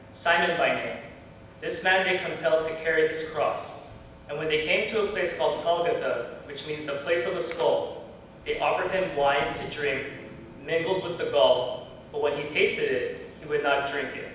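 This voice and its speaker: Male Bible reader, slightly high register, public narration in a reverberant church with faint rumbly noise. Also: normal speed, fairly bright tone. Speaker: scripture reader. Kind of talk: dramatic scripture narration. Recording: echoey church hall, distant mic, faint rumbling noise.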